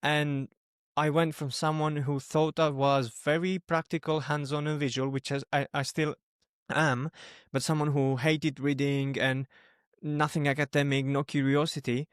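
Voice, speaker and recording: A man's voice: a little high, flat delivery, measured pace, clear, resonant tone, no room sound, clean studio sound. A young man from Greece, born in Albania, speaking English as a non-native language, chatting casually as a podcast host. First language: not English, which is a third language. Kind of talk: casual personal conversation.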